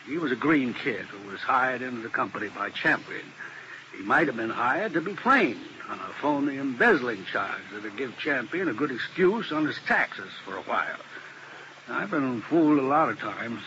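Weary troubled lawyer, bright tense voice, lively pitch, faint radio hiss. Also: male voice, moderate pace, dull audio. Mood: anguished. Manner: troubled, heartfelt. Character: weary, guilt-ridden male defense lawyer. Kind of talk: 1950s radio drama acting. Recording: hissy old radio broadcast.

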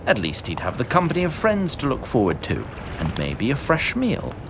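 A man's voice: medium pitch, huge pitch swings, moderate pace, vocal fry, noisy background. A man narrating a fantasy audio drama in a theatrical, formal storybook style. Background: music and sound effects.

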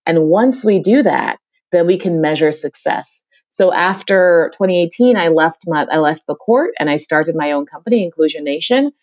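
A woman's voice: fairly low pitch, moderate pace, darkish tone, dry recording. A middle-aged woman from the Caribbean, talking energetically as a podcast interview guest and often repeating her phrases. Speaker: guest, diversity consultant.

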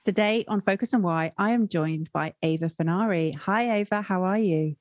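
A woman's voice: lowish voice, muffled recording, a little hiss or hum. Upbeat woman hosting a podcast. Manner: upbeat, encouraging, quick to praise. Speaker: podcast host. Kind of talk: podcast interview.

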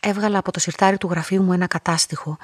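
An actress playing a solitary young woman who narrates her own story in a radio drama, reflective and measured, with a husky voice. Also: lowish voice, fairly even intonation, no room sound, clean studio sound, natural voice. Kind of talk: literary first-person dramatic narration.

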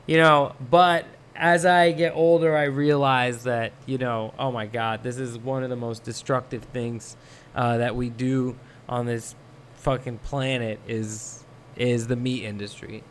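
A young man who grew up in Thailand, talking as a guest in a casual chat, rambling and relaxed with many fillers. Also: medium pitch, a little slow, a little hiss or hum. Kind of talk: casual podcast conversation.

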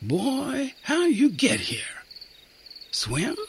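A man voicing a big, gruff but kindly male army sergeant, speaking in a folksy drawl. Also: huge pitch swings, drawn-out words, a little hiss or hum, heavily acted. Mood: startled, bossy. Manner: folksy drawling dialect, booming then gentle.

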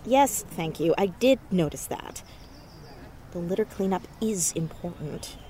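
A woman playing a determined, defiant teenage girl in a naturalistic audio drama, brisk and dryly sarcastic. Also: fairly low pitch, expressive, dark tone, slight background noise. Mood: dry, guarded.

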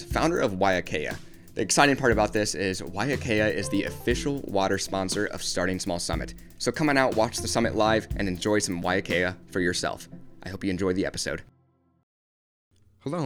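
A man hosting a business podcast who reads a scripted intro and then asks interview questions in an upbeat way. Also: sits low. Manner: upbeat, brief affirmations. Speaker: podcast host.

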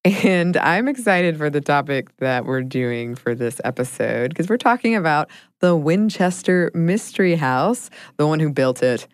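A woman's voice: low-pitched, lively intonation, measured pace, hardly any pauses, clean tone, dry recording, clean studio sound. An American woman chatting casually as a podcast host. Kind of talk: casual co-hosted podcast chat.

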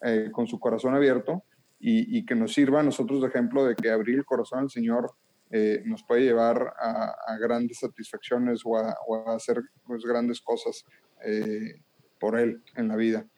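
A man's voice: mid-range voice, flat delivery, moderate pace, dark tone. A man with a northern Mexican accent, hosting a faith podcast in casual, earnest talk with many filler words. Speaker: podcast host. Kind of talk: casual chat.

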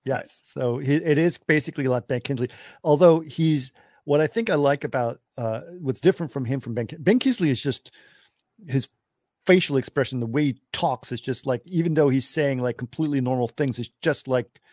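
A man talks about a movie with a friend in a halting, conversational way. His voice sits in a middle pitch, and the recording is dry and close. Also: a little mellow, no echo. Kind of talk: casual film discussion.

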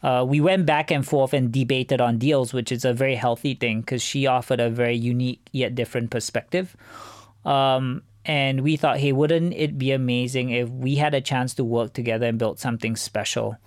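Man, mid-pitched, measured interview guest, explanatory, businesslike, dry close sound. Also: fairly even intonation, no echo. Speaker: venture capital fund co-founder, interview guest.

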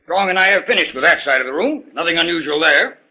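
A testy professor in a 1940s radio mystery. His raised, extremely sharp voice has a husky rasp and sounds impatient. The old recording is dull. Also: fairly high pitch, animated, fast talker, full character voice.

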